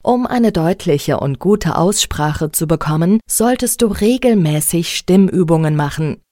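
A woman leads a speech training lesson, pronouncing each word with deliberate care at an unhurried pace. Her voice sits slightly low. The recording is dry and close, with no room sound. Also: lively intonation, mellow, no echo. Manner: slow, deliberate, clearly articulated instruction. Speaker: speech coach. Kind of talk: instructional speech exercise tutorial.

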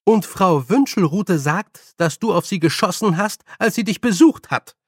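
Man voicing a high, bossy old village witch. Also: very animated, measured pace, no echo, studio quality, heavily acted. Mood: stern scolding. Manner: loud, stern, teasing, colloquial scolding. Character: brisk, bossy, good-humoured old woman village witch. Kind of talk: audiobook character voice.

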